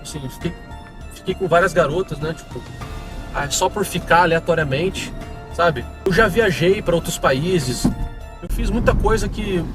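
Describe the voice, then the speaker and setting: A man's voice: high-pitched, normal speed, over music. A young man with a São Paulo interior accent, telling his conversion testimony in a rambling, casual way, recorded outdoors on a city street. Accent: São Paulo interior Brazilian Portuguese. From interior of São Paulo state, Brazil. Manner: rambling, many fillers and restarts. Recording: outdoor street with passing traffic.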